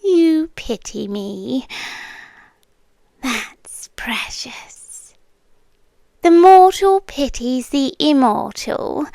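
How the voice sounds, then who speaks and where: A British woman playing a possessive, lonely immortal monster in an intimate ASMR roleplay, speaking deliberately and teasingly and often slipping into whispers. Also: sits high, a little mellow, no echo, heavily acted. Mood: wistful, tender. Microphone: close microphone, dry.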